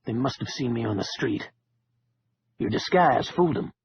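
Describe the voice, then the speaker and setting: A man voicing a gruff, loyal male friend who talks bluntly and with slang. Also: mid-range voice, lively intonation, normal speed, highs cut off, a little hiss or hum.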